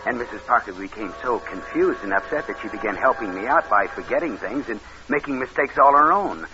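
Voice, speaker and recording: An actor playing a cold-blooded, calculating con man, narrating and talking smoothly in 1940s radio thriller style. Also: mid-range voice, lively intonation, a little fast, over music, close to an everyday voice. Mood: cold, matter-of-fact. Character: scheming cold-blooded male con man posing as nephew. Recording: noisy, dull old radio recording.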